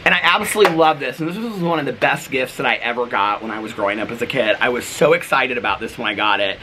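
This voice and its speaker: A man talking casually to viewers as a vlogger, in an animated, rambling, gushing way. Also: medium pitch, quick pace, background noise. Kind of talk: casual, chatty vlog talk to viewers.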